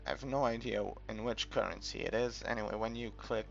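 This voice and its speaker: A man's voice: on the low side, fairly quick, vocal fry. A man from the UK giving a casual, unscripted game walkthrough, rambling and hesitant as he thinks aloud. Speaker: gamer making a game tutorial. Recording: muffled, dull recording with background music.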